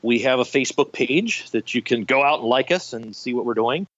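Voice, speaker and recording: A man's voice: medium pitch, lively intonation, fairly quick, fairly bright tone, highs cut off. A middle-aged man telling anecdotes enthusiastically as an interview guest, in a conversational storytelling style. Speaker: guest, radio theater director.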